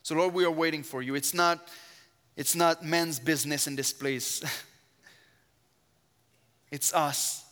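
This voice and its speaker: A man preaching with a strong French accent, his first language being French. His voice is smooth and resonant, and it carries an earnest, fervent emphasis in prayer. Long church reverb rings behind every phrase. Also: fairly high pitch, steady tone, takes it slowly, pauses often, bright, forceful tone. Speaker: guest preacher. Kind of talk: sermon with opening prayer. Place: church sanctuary.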